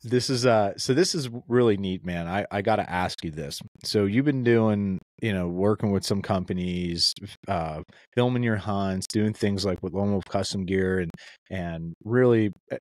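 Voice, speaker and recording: Low-voiced easygoing male podcast host. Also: normal speed, darkish tone, dry recording. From Pennsylvania. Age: young adult. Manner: rambling, friendly, says "man" and "dude" a lot. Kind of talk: casual podcast conversation.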